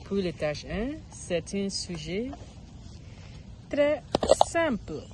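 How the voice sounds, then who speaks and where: Slow, halting woman outdoors. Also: medium pitch, big pitch swings, a little mellow, a little hiss or hum, rough recording. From Ghana. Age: 30s. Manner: halting, searching for words, frequent restarts. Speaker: vlogger learning French. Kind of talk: casual self-filmed practice monologue. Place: outdoors in a park.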